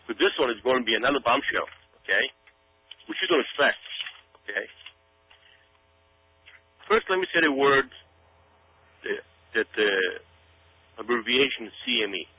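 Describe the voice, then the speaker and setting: A man's voice: mid-range voice, lively intonation, normal speed, pauses often, slightly rough. A man giving radio commentary straight to his listeners, speaking emphatically and dramatically, repeating himself and often checking in with "okay?". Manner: dramatic, hyping build-up. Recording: tinny phone-like sound with hum.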